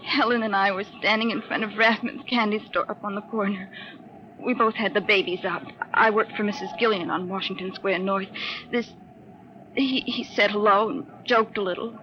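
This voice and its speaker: An actress playing a distraught young nursemaid, halting, pleading and tearful, in 1950s radio drama style. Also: female voice, medium pitch, steady tone, highs cut off, close to an everyday voice. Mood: shaken. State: tearful, hysterical. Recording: noisy old radio drama recording.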